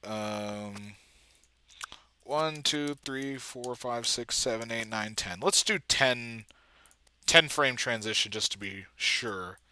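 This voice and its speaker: A man giving casual, halting screen-recording commentary as he thinks aloud, muttering to himself in a self-deprecating way. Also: mid-range voice, bright, forceful tone. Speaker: video editor and comic dubber.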